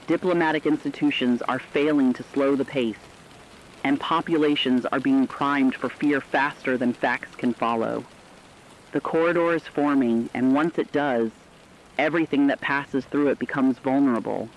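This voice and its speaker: A man's voice: medium pitch, moderate pace, slight background noise, lightly acted. Dull-sounding, serious radio correspondent played by a man. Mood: serious, urgent. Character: serious radio correspondent reporting an escalating war crisis. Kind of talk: scripted fictional emergency radio report.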